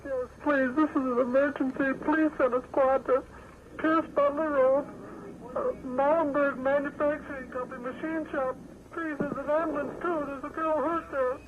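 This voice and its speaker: A man's voice: fairly even intonation, moderate pace, a little hiss or hum. A distraught man with a whiny, weepy voice, pleading on an archival emergency phone call. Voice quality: high-pitched, whiny. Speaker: anonymous emergency caller.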